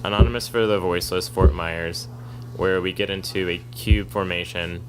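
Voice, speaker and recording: A man co-hosting a podcast, talking at length in a monotone, argumentative way in casual chat. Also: deep voice, moderate pace, background noise. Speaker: podcast co-host, activist. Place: kava bar.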